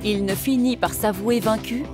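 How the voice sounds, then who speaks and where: A woman narrating a cartoon story in a clear, measured storytelling manner. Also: fairly high pitch, over loud music.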